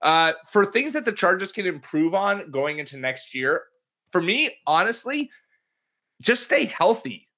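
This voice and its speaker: A man's voice: high voice, sing-song, unhurried, bright, forceful tone. A man hosting a casual sports podcast, joking around and slipping in and out of put-on accents. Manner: jokey, puts on shifting accents. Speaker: podcast host.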